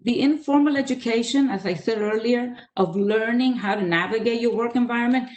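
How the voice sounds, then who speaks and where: A woman in her sixties, from the Dominican Republic and raised in New York City, giving a measured, earnest webinar presentation over a video call with frequent fillers. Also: fairly high pitch, a little mellow. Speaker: guest speaker, author.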